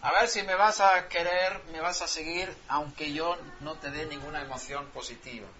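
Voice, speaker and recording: A man's voice: a little high, steady tone, normal speed, background noise. A man whose first language is Swedish, preaching a sermon and pressing his point with rhetorical questions. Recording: dull lo-fi sound, faint ambience.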